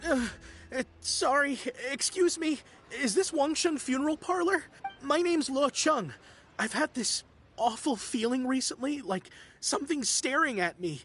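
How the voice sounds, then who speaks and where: A woman's voice: sits high, animated, unhurried, fairly bright tone. A woman voicing a panicky, superstitious young man in a video game, nervous and melodramatic. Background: game music.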